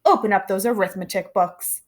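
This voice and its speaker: A woman's voice: mid-range voice, normal speed, close to an everyday voice. A woman voicing a strict, unpleasant female substitute teacher from a children's storybook, snappish and commanding. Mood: stern, menacing.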